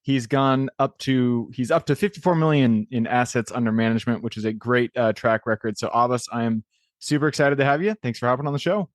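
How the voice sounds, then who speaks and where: A man's voice: medium pitch, brisk, dry recording, clean studio sound. A man hosting a podcast interview who talks in an upbeat, encouraging way with many ums. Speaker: podcast host.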